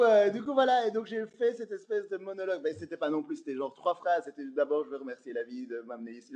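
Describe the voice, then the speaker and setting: A man's voice: fairly high pitch, a little mellow. A man with a Belgian French accent telling funny stories in a casual podcast chat, laughing often and filling with lots of fillers. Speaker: guest comedian.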